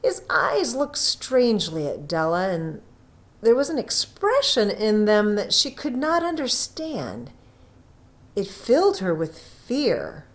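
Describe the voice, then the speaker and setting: A woman narrates a classic story in an audiobook style. She takes her time, stretching phrases for emphasis, and her pitch moves widely and expressively. Her voice sits in a medium female range. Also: huge pitch swings. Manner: unhurried, dramatic emphasis with drawn-out phrases. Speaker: audiobook reader.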